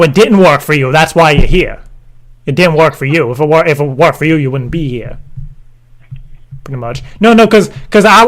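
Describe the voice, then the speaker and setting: An earnest, clueless dad acted by a man talks in a high-pitched voice. He is deadpan and stubborn, stammering and repeating himself through a comic prank call. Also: close to an everyday voice. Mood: confused, earnest. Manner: hesitant, stammering, repetitive, deadpan.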